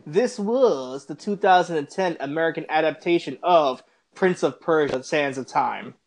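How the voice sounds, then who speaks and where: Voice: medium pitch, normal speed. Emphatic podcast host on a rant. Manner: emphatic, repetitive, profane. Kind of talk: casual ranting film review.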